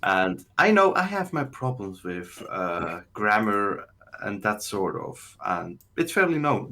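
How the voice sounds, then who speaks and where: A man's voice: on the low side, bright, forceful tone. A man whose first language is German, chatting hesitantly on a live stream as a caller and searching for words. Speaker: guest on call. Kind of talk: casual chat.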